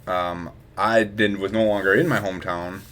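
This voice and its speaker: Young dude on a live stream, lowish voice, rambly, laughs a lot, gets loud then mumbles. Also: male voice, fairly even intonation, normal speed. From Wisconsin, USA. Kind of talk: casual live-stream chat.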